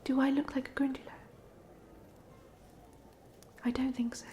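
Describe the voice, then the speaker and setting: A woman's voice: sits high, level tone, rapid-fire, a little hiss or hum, lightly acted. A woman playing a polite, chatty ghost of a drowned student in a whispered, hesitant ASMR roleplay. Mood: wry, resigned. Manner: whispered, hesitant, gently rambling.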